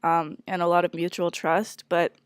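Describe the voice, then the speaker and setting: A woman's voice: fairly low pitch, little pitch movement, moderate pace, clean tone, no echo, studio quality. A congested woman candidly talking through a stressful work situation, hesitant and full of fillers and restarts. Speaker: speaker sharing a personal work situation. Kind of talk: candid personal monologue.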